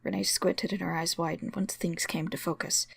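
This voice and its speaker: Very creaky, husky woman reading a story. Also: fairly low pitch, little pitch movement, moderate pace, no echo, studio quality. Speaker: story reader. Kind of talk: dramatic scripted narration of fan fiction.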